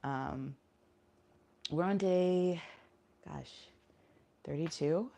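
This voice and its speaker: Low-voiced, stuffy-nosed woman vlogging slowly. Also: creaky, dark tone. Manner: low-energy, rambling with many fillers; talking while doing squats. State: stuffy, nasal from a cold. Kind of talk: casual chat vlog, streaming live.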